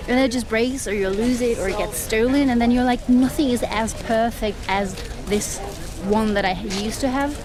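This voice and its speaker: A young woman with a slightly British-tinged mixed accent, chatting and giggling her way through a winery tour for her travel vlog. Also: a little high. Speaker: vlogger. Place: winery tour, indoor cellars and outdoor grounds. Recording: noisy crowd around her.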